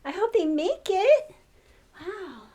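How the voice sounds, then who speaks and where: A woman's voice: very high-pitched, very animated, takes it slowly, dark tone. An older woman reading a storybook aloud to children, expressively, with exclamations and questions to the listener.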